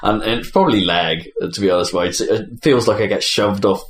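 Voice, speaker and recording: A man's voice: fairly low pitch, fairly quick. A man hosting a casual gaming podcast, talking in an informal, sweary way. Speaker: podcast host. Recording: roomy echo, faint background noise.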